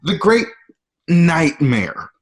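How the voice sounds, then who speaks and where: An actor playing a frustrated, scheming Roman emperor in a radio-drama read. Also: male voice, fairly high pitch, very animated, very slow, heavily acted. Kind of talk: online table-read radio drama acting.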